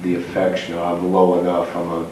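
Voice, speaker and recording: Young man, band frontman, very deep monotone voice, blunt and profane; echoey, noisy distant recording. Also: fairly quick. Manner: blunt, profane, says he has a very low voice. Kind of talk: casual backstage interview.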